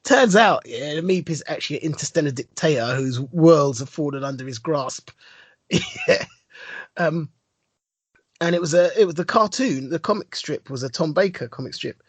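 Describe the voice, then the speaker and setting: A man's voice: on the high side, lively intonation, moderate pace, breathy edge, dry recording. A man co-hosting a podcast, telling a long story with a lot of enthusiasm and rambling asides. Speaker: podcast co-host. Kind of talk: casual chat.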